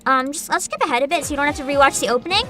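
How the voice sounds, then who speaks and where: A woman's voice: sits high, very fast, pushed and bright. A woman hosting a livestream, chatting informally to her viewers and giving her opinions, often humming between thoughts. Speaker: livestream host.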